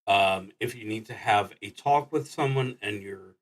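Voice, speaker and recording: A man livestreaming a solo roleplaying game, thinking aloud in an unscripted, deliberative way with frequent pauses and restarts. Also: on the low side. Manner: halting, deliberative, often trailing off and restarting.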